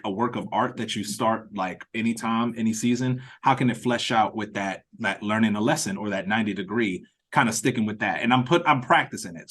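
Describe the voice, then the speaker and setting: A man's voice: medium pitch, fairly bright tone. Informal man thinking aloud on a call. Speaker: writer-director leading the meeting. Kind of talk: casual meeting chat. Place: online video meeting. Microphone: video call.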